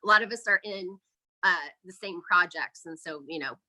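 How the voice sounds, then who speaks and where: A woman's voice: on the low side, normal speed, sharp tone, rough recording. A woman speaking casually and enthusiastically as the guest in an interview about her indie projects. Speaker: interview guest, indie horror filmmaker and podcaster. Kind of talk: casual promotional interview chat.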